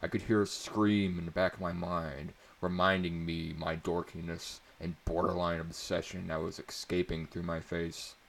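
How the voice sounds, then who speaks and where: Narrator, male: low and creaky, measured dramatic delivery for first-person fiction. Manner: measured, theatrical reading. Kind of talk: dramatic scripted story narration.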